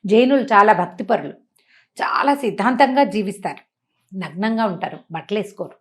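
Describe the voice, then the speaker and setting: A woman telling a moral tale in a conversational, animated way, putting questions to the listener and acting out dialogue. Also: medium pitch, a little fast. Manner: conversational, uses rhetorical questions and acts out dialogue. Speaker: storyteller and motivational speaker.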